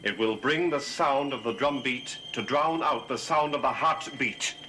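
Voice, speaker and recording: An actor playing a grave, insistent husband in a gothic television soap, with a solemn, pressing delivery. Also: male voice, medium pitch, measured pace, bright, forceful tone, faint music behind. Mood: stern, pressing. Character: grave, insistent husband probing a supernatural mystery.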